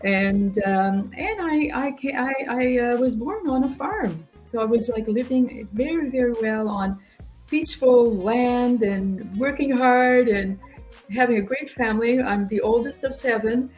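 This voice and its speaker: A woman's voice: a little high, measured pace, a little mellow, faint music behind, poor audio. A woman from rural Ontario near Quebec, a native French speaker, speaking English as an interview guest and explaining things conversationally, often repeating words. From rural Ontario near Quebec, Canada. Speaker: interview guest, human rights youth organisation director. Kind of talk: conversational personal storytelling in an interview.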